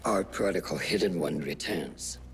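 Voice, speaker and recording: Deep, gravelly male assassin mentor. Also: faint music behind. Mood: businesslike. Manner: measured, authoritative, formal. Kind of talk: video game cutscene acting.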